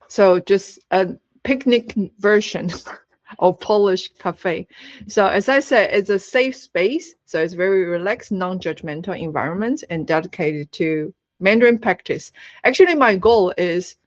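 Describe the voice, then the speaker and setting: A woman's voice: lowish voice, no room sound. Taiwanese-accented woman on a dull video call. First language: Taiwanese Hokkien. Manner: earnest, laughs often, frequent fillers. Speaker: webinar guest speaker. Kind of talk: presenting in a webinar.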